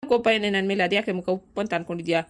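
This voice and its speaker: A woman voicing a quick-tempered village farmer husband who bickers and coaxes in a family cartoon, with a rural southern Karnataka accent. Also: mid-range voice, lightly acted. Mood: placating. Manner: bickering, colloquial, nagging questions.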